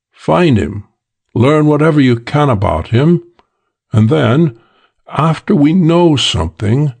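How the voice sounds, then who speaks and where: Casting: male reader playing an earnest, businesslike client, medium-pitched and creaky, formal and deliberate, for a detective audiobook. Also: a little mellow, full character voice. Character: earnest businesslike man hiring a detective to find someone.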